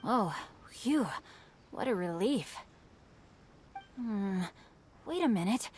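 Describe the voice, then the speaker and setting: A woman's voice: mid-range voice, expressive, slow, darkish tone, slight background noise. A woman voicing a polite, bookish teenage boy in an anime-style game, formal and easily flustered. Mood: sheepish.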